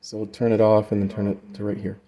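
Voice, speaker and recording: A man's voice: fairly low pitch, monotone, fast, mellow. Male presenter, informal and rambling, for a casual hands-on gear demo. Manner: informal, rambling, trails off mid-explanation. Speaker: presenter demonstrating a vocal effects unit.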